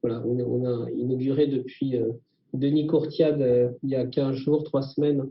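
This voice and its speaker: A man giving a semi-formal welcome address for a school, halting and repeating his words. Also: mid-range voice, flat delivery. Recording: echoey, muffled audio.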